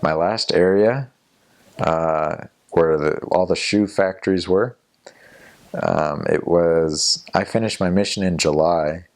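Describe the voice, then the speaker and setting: A man's voice: sits low, slow pace, a little mellow. A young man, a returned missionary, telling stories from his mission in a casual interview, rambling a little with fillers and restarts. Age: young adult.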